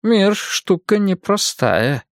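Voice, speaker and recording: A languid middle-aged spymaster who stretches his words out with lofty irony, as a male reader puts him on. His voice sits noticeably higher and his pace is slow and deliberate. The recording is dry and close. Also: big pitch swings, dry recording, exaggerated voice. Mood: ironic. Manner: drawling and ironic, slow and lofty.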